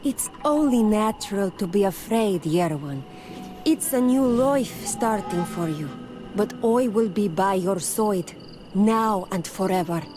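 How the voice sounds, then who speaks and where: Woman doing gentle devoted tribe lady in a video game, reassuring, average pitch, music behind. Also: expressive, measured pace, close to an everyday voice. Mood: tender, reassuring. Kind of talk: video game dialogue acting.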